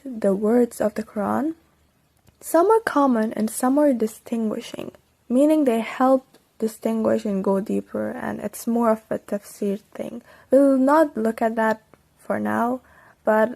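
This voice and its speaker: A young woman whose first language is Persian, giving casual, upbeat tips on studying the Quran. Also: gravelly. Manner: upbeat and chatty, with sudden bright lifts in pitch. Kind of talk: casual instructional vlog.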